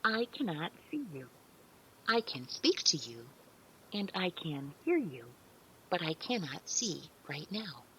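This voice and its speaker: Audio drama role: woman voicing an innocent, earnest AI, formally polite, animated intonation with a creaky edge. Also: lowish voice, slow pace, a little hiss or hum, heavily acted, poor audio. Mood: earnest, inquisitive. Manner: precise formal wording, innocently literal. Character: polite, naive artificial intelligence woman, earnest and childlike.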